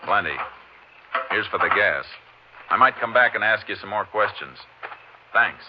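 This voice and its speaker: An actor playing a steady, methodical Texas Ranger investigator in a terse, measured way, in 1950s radio drama style. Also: male voice, fairly low pitch, lightly acted. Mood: terse, probing. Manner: terse, measured, matter-of-fact. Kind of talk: 1950s radio western detective drama acting. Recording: dull, noisy old 1950s radio recording.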